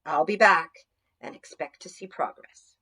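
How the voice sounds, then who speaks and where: A woman voicing a stern but forgiving motherly spirit of nature in a children's storybook, measured and commanding. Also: low voice, pushed and bright, husky, full character voice. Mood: gentle, firm.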